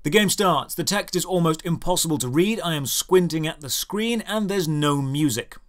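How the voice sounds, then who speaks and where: A man's voice: a little high, animated, normal speed. A man hosting a scripted comedic game review, with a dry, sardonic and emphatic delivery. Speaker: video host, game reviewer.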